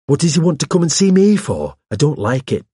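A nervous, obstinate man, acted by a male narrator in an audiobook story, pitched well up and agitated. He presses his points eagerly in a husky, shrill voice that swings restlessly. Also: sing-song, fairly quick, mellow, dry recording, clean studio sound. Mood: shrill alarm. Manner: fidgety, eager, insistent. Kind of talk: audiobook character voice.

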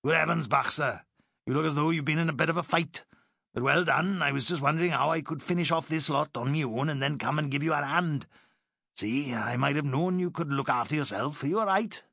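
Welsh soldier read by a man, gruff, colloquial, higher voice, dry close sound. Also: brisk, bright, forceful tone, no echo, full character voice. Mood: cheerful. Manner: colloquial, earthy.

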